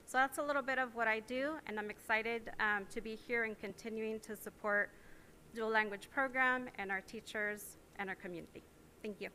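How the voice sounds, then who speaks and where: A middle-aged woman who is a school language coach, earnestly listing her duties with short pauses to a board in a meeting room. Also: a little high, steady tone, pushed and bright, slight background noise. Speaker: dual language teacher on special assignment. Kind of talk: formal self-introduction.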